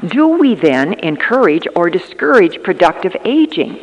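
A woman in her fifties giving an earnest talk at a church gathering, emphatic and often pausing with hesitations. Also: lowish voice, very animated, highs cut off, slight background noise. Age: 50s. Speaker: church conference speaker. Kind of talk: prepared talk on aging and ministry. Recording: long reverb of a large hall.

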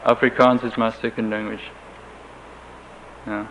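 A man with a German accent, German being his first language, answering interview questions in a halting, careful way with frequent restarts, on a dull lo-fi tape with faint hiss. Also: fairly low pitch, flat delivery.

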